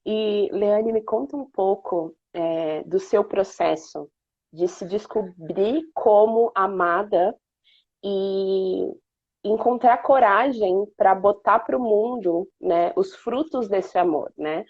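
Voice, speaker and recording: A woman's voice: mid-range voice, slow pace, slightly rough. A woman with a Brazilian accent hosting a casual live-stream chat, affirming her guest often. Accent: Brazilian Portuguese. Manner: warm affirming interviewer, frequent "uhum". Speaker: host. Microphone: video call. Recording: dry, dull live-stream audio.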